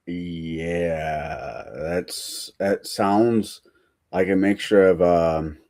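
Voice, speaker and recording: Very deep, slow-talking man. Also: a little mellow. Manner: hesitant, many fillers. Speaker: panelist. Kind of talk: casual panel chat.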